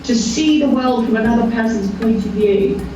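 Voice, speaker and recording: A woman's voice: on the high side, normal speed. A woman talking enthusiastically about learning across languages. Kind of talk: interview answer. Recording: distant, roomy, muffled, noisy.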